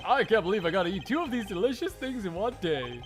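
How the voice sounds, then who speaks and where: A man's voice: sits high, big pitch swings, fast talker, over music, voice pushed up. A man voicing a big, goofy, overexcited police detective in a fandub, gushing and informal. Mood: excited, grateful. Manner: informal, gushing, tacks "pal" onto sentences. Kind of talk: anime game fandub character acting.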